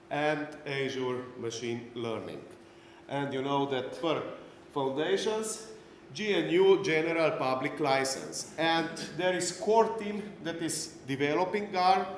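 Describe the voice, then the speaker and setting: A middle-aged man with a Slovenian accent gives a technical talk at a conference, speaking English as a second language with dry self-deprecating jokes and many fillers. His voice echoes around a large hall with a long reverb tail. A faint hiss of room noise sits underneath. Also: on the high side.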